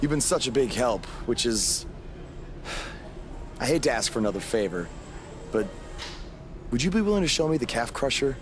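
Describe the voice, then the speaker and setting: A man's voice: mid-range voice, big pitch swings, moderate pace, pauses often, noisy background, close to an everyday voice. A man playing a determined up-and-coming pro wrestler in video game cutscenes, speaking earnestly and plainly. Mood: candid, pleading.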